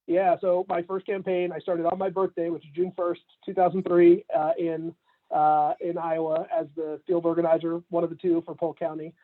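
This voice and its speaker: Man, about forty, campaign manager, relaxed and chatty, higher voice, thin phone-like sound. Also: moderate pace. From Canada, raised in Atlanta. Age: 40s. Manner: relaxed, laughs often, many fillers. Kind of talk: casual remote interview.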